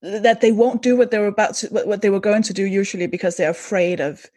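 A woman hosts a casual conversation in a chatty way, often trailing off and restarting her sentences. Her voice sits at an ordinary pitch. The sound is dry and close. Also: fairly even intonation, fast talker, darkish tone, no echo. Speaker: host.

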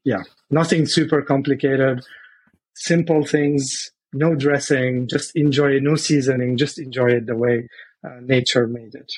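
Guest, male, from Lebanon, husky, unhurried, lots of "um". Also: medium pitch, steady tone, dry recording, poor audio. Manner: measured, hesitant, many fillers. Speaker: podcast guest. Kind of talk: casual podcast interview.

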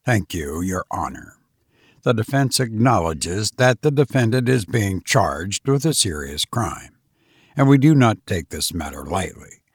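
A man's voice: lowish voice, big pitch swings, a little slow, slightly rough, no room sound, clean studio sound, pitched below the performer's own voice, full character voice. A man voicing a kindly middle-aged male defense attorney, earnest and formal, in an audiobook. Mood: earnest, measured.

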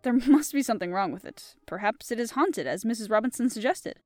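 A woman's voice: on the high side, animated, brisk, a little mellow, dry recording, soft background music, close to an everyday voice. A woman reading aloud and voicing an earnest, eager-to-impress male army captain.

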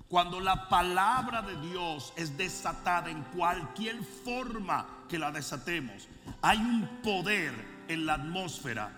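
A man preaching as a bishop, moving from calm storytelling to fervent, shouted prayer. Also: fairly high pitch, long reverb tail. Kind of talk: sermon and prayer.

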